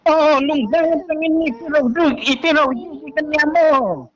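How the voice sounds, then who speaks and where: A woman's voice: sits high, drawn-out words. A woman talking casually in a phone conversation. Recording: muffled, dull phone-call audio.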